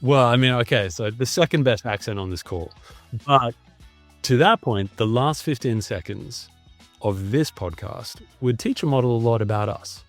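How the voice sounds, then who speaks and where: A man's voice: medium pitch, lively intonation, normal speed, soft background music. A man with an Australian accent, a guest on a podcast, explaining fluently in a casual conversation over a video call.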